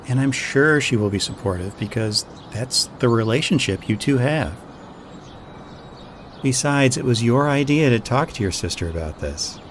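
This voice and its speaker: A man acting as a gentle, reassuring young boyfriend in an intimate audio roleplay, speaking in a soothing, affectionate way. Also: medium pitch, slight background noise, close to an everyday voice.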